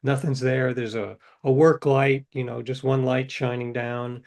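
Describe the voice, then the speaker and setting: A man's voice: mid-range voice, steady tone, unhurried, vocal fry, darkish tone. Rambling older man telling stories. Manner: rambling, self-correcting, acts out quoted dialogue. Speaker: interview guest, novelist. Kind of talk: casual storytelling.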